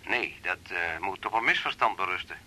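A man's voice: low-pitched, lively intonation, a little fast, bright, forceful tone, exaggerated voice. A man acting in a 1980s Dutch radio drama as a puzzled middle-aged father, hesitant and polite, heard over a telephone line, with a Netherlands Dutch accent. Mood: puzzled, uneasy.

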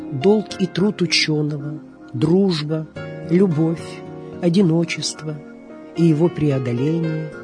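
A woman reading a scripted documentary narration as the narrator of a radio programme. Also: lowish voice. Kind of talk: scripted documentary narration about film and theatre.

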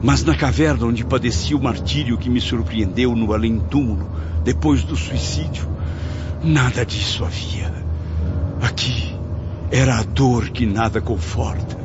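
Um ator interpretando o espírito angustiado de um suicida, num monólogo declamado e dramático de radionovela. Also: male voice, mid-range voice, a little slow, natural voice. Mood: bitter despair. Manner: declamatory, tormented. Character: anguished male spirit of a suicide in purgatory. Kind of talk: dramatic radio drama monologue. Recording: dull lo-fi audio, loud music behind.

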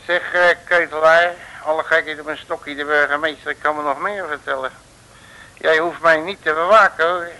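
An actor in a 1950s radio serial playing a grumbling, stubborn detective with a blunt, slangy, exclamatory way of talking. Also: male voice, fairly high pitch, fairly even intonation, normal speed, pushed and bright, poor audio. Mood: irritated.

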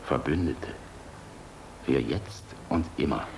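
Low, gravelly, world-weary German general. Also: male voice, takes it slowly, heavily acted. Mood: grave, confiding. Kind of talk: German radio play acting. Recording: noisy old radio play recording.

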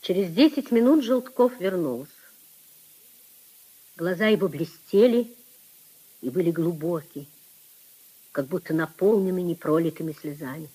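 A woman narrating a literary 1950s radio drama in a measured storytelling manner. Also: fairly low pitch, warm tone, slightly rough, poor audio.

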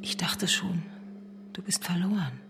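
A woman's voice: fairly low pitch, a little slow, background noise. An actress playing a troubled but steadfast revolutionary woman in a radio drama, earnest and intimate. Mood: anguished, whispering. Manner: earnest, intimate, often dropping to a whisper. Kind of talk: German radio drama acting. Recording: clear music behind the voice.

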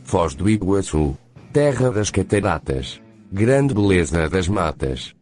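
A man's voice: low voice, very animated, slow pace, a little mellow, soft background music, full character voice. A man voicing a stiff, literal-minded male robot that recites rhymes in a radio comedy sketch, answering in short, humorless lines. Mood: reciting. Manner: curt, humorless, literal replies.

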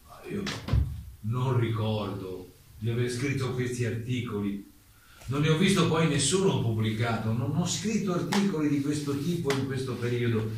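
A man playing a careful, courteous playwright giving testimony, speaking deliberately and politely in a theatrical radio drama. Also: mid-range voice, lightly acted. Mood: careful, evasive. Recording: echoey room, distant mic, faint noise.

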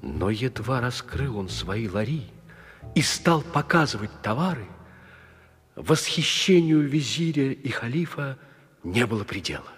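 A man narrating a scripted fairy tale as an expressive storyteller. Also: medium pitch, unhurried, breathy edge. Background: music.

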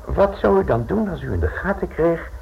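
An actor playing a theatrical Italian mafia enforcer in a Dutch radio play, mock-courteous and insinuating, pausing deliberately for effect. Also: male voice, a little high, sing-song, creaky, breathy edge, full character voice. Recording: dull, noisy old radio play recording.

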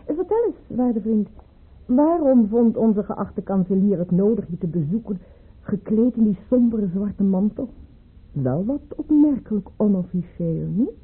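An actress playing a proud, bitter aristocratic mistress in a radio play, with cutting, theatrical delivery. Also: female voice, mid-range voice, animated, measured pace, breathy edge. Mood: probing, teasing. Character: proud, bitter, sharp-tongued aristocratic mistress, a widowed baroness. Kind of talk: Dutch radio play acting. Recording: muffled, phone-like old radio play recording.

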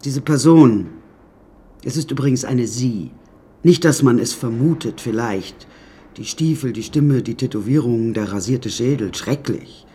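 An actor playing a wry, rambling storyteller who recounts a troubled childhood in a radio drama monologue, with hesitant asides. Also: male voice, medium pitch, measured pace, warm tone, slight background noise, close to an everyday voice. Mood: flustered, apologetic.

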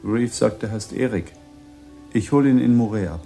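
A man's voice: on the low side, normal speed, gravelly, dark tone, faint music behind. An actor playing an easygoing husband chatting familiarly with his wife in a German radio drama. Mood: casual, familiar. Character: smooth-mannered, casual husband talking to his wife.

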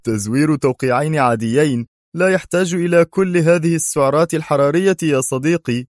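A man's voice: fairly high pitch, brisk, dry recording, clean studio sound, voice pushed up, close to an everyday voice. A man voicing a jovial, boisterous, very fat forger with a cheerful manner.

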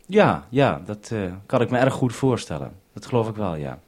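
A Dutch radio producer speaking on a radio link, calm and orderly, repeating notes back before signing off. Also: male voice, on the low side, normal speed, background noise. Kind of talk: radio call, businesslike. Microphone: radio transceiver.

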